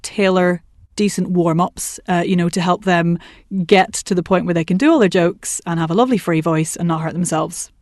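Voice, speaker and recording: A woman working as a voice and accent coach, talking in a chatty, animated way in a podcast interview. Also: lowish voice, normal speed, few pauses, no echo, clean studio sound. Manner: chatty and animated, laughs often, puts on voices for anecdotes. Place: work commute between Manchester and Liverpool.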